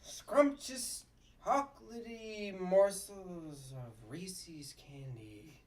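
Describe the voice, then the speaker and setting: A woman voicing a grumpy, loudmouthed puppet boss with sarcastic, bossy delivery at a sitcom table read. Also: fairly low pitch, drawn-out words, recorded from a distance. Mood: irritated, sarcastic.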